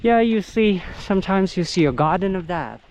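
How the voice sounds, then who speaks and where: A middle-aged man whose first language is Vietnamese, working as a local guide and narrating a casual vlog outdoors in an unhurried, halting way with many fillers. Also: sits high, lively intonation, darkish tone, a little hiss or hum, low-quality recording. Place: outdoors on countryside trails.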